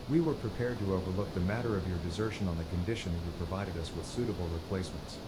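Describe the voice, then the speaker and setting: An actor playing a grim pirate villain in a fantasy game, making formal, archaic threats. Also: male voice, sits low, quick pace, noisy background, close to an everyday voice. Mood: cold menace. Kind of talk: dramatic fantasy game dialogue.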